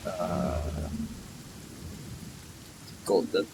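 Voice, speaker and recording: Very deep, slow-talking male streamer. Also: background noise. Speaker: livestreamer. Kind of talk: casual muttered reactions while streaming a game.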